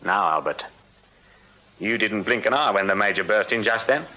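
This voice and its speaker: An actor playing a shrewd Victorian police sergeant in a radio drama, speaking formally and with insistent authority. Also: male voice, on the low side, animated, moderate pace, highs cut off, background noise, natural voice. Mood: stern, urgent. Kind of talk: full-cast radio drama acting.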